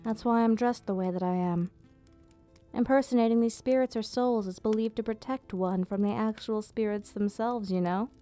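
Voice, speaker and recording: A woman playing a gentle, nostalgic vampire hostess in an ASMR roleplay, speaking softly in slightly formal, unhurried explanations. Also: on the high side, steady tone, lightly acted. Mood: fond, explaining. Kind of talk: ASMR roleplay, soft storytelling. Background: background music.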